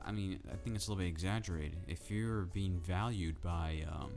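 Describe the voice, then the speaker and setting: A man ranting casually to camera about football in a soft, calm, drowsy way. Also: low-pitched, moderate pace, over music. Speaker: football vlogger.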